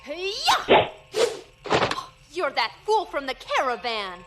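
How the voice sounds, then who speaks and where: A haughty warrior villainess, acted by a woman, high and very animated. She is imperious and scornful, with a quick temper, in audio drama style. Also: heavy background noise, full character voice. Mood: indignant. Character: haughty, hot-tempered female martial-arts villain.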